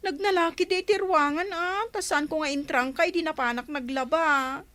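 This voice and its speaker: Woman acting as anxious housewife, high voice, plaintive, exclaiming, comedy drama, dry sound. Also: normal speed, a bit bright, no echo. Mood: pleading. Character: distressed housewife and anxious wife.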